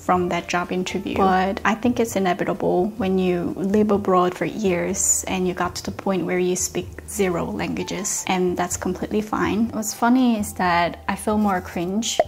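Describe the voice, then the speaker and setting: A woman's voice: fairly low pitch, fairly even intonation, moderate pace, creaky, few pauses, slight background noise. A young woman, talking candidly to her camera in a casual vlog, slipping into whispered asides. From South Korea. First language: Korean. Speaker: vlogger. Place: home in the UK. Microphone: camera mic.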